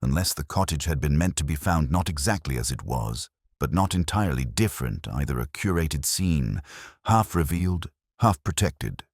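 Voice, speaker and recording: Guy acting as a melancholy detective reading his notebook, super deep voice w lots of rise and fall, slow-thinking and solemn. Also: lively intonation, dry recording, clean studio sound. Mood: melancholy, uneasy. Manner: measured, reflective, solemn. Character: brooding, melancholy male police inspector narrating his notebook. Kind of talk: literary first-person scripted narration.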